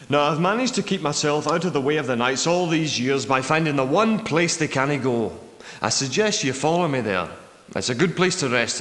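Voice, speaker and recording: A man's voice: mid-range voice, close to an everyday voice. Echoing, weary old Scottish war veteran, acted by a man. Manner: guarded, plain-spoken, dramatic delivery. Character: weary, wary old war veteran trapped underground for years. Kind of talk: video game character dialogue. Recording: echoey, long hall-like reverb.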